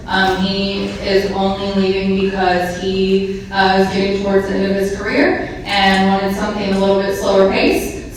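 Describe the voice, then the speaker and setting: A woman, a neighborhood police officer, speaking loudly and chattily at a community meeting with many ums. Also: mid-range voice, distant mic, slight background noise. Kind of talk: public talk at a community meeting. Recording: echoey room recorded from afar.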